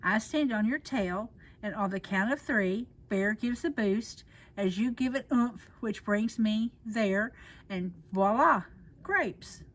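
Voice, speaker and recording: A woman's voice: mid-range voice, slow pace. A woman voicing a sly, smug male storybook fox who talks in a pompous, condescending, theatrical way. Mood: coaxing.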